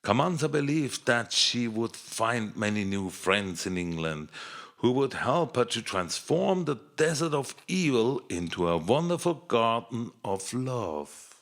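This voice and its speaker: A man narrating a scripted fairy tale in an expressive, measured children's storytelling manner. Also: medium pitch.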